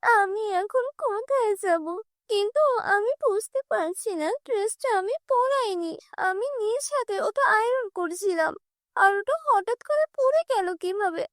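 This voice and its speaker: A gentle, kind young cartoon heroine, voiced by a woman with a very high, bright voice. She speaks softly and earnestly in a dry studio recording. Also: animated, unhurried, no echo. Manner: soft, earnest. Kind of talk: cartoon dubbing.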